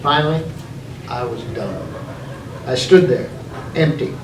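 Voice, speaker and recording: A man reading his own story aloud in a theatrical, deliberate way, with dramatic pauses. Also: mid-range voice, lively intonation. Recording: echoey, noisy room, distant mic.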